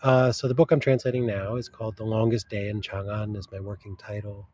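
A man's voice: on the low side, level tone, brisk, darkish tone, muffled recording, slight background noise. A man who works as a literary translator, talking in a casual interview, thoughtful and often searching for the right words mid-sentence.

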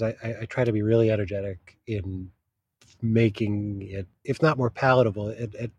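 A man's voice: on the low side, a little mellow, husky, no room sound. A man who works as a literary translator, talking in a casual interview, thoughtful and often searching for the right words mid-sentence.

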